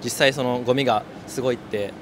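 A young man talks fast and emphatically in a mid-low voice. Clear street noise sits around him. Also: steady tone. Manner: quick, emphatic agreement. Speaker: interviewee. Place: city street outdoors at night.

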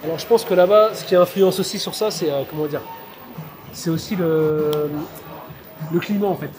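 A man's voice: on the high side, moderate pace, a little mellow, soft background music. A man in his thirties talking casually about street flirting, hesitant and full of fillers and restarts, recorded outdoors on a city street. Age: 30s. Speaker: street pickup learner. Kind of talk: street approach and casual debrief. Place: busy city street outdoors, traffic and passersby. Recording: outdoor street crowd behind.

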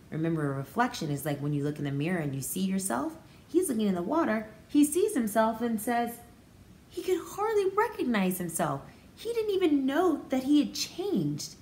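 Animated American woman reading to young children. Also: mid-range voice. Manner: expressive, emphatic, explains feelings to children. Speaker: pre-K teacher. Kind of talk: picture book read-aloud with commentary for children. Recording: echoey room with faint background noise.

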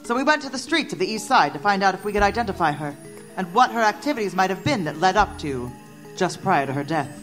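Formal investigator narrating gothic audio drama, female actor: mid pitch, lively inflection, music beneath. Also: fast talker, close to an everyday voice. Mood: measured, grave. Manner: formal, precise. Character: investigator narrating a gothic steampunk murder case. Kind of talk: scripted first-person narration.

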